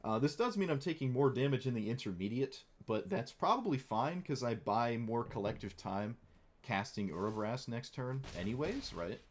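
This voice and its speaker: A young man streaming a card game, chatting wryly through his plays and teasing viewers, his voice a little hoarse. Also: mid-range voice, animated, moderate pace. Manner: chatty, wry, playfully mock-dramatic. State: slightly hoarse. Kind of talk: casual gameplay commentary.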